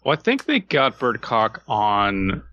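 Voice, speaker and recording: A man's voice: lowish voice, huge pitch swings, takes it slowly, pushed and bright, muffled recording, slight background noise. A man co-hosting a podcast in casual comedic chat, wry, rambling and given to swearing. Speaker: podcast co-host.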